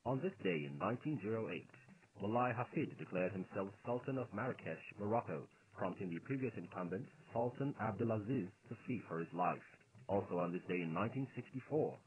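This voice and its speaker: A man reading scripted announcements as a radio presenter. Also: lowish voice. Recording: dull lo-fi digital shortwave radio.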